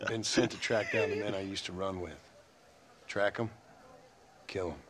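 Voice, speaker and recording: An actor playing a weary American ex-outlaw cowboy in a western video game, terse, with a folksy rural drawl. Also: male voice, low-pitched, moderate pace, fairly bright tone, slight background noise. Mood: bitter.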